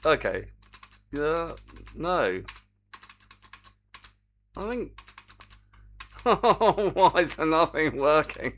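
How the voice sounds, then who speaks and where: A man's voice: fairly high pitch, bright, forceful tone, a little hiss or hum. A man streaming his game development work, chatting to viewers in a rambling, self-mocking way. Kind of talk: casual live-stream commentary while coding.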